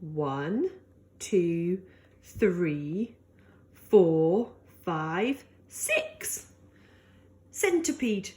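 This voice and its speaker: A woman reads a children's rhyming story at an unhurried pace. Her pitch rises and falls widely in a sing-song delivery, clearly addressed to very young listeners. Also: sing-song, dark tone. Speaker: story reader for pre-school children. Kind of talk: expressive picture-book read-aloud of rhyming verse.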